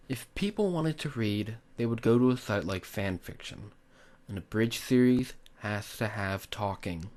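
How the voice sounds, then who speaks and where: Man narrating a tutorial, very lively pitch. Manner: emphatic, instructive, addressing the viewer directly. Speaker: host of a tutorial on making abridged series.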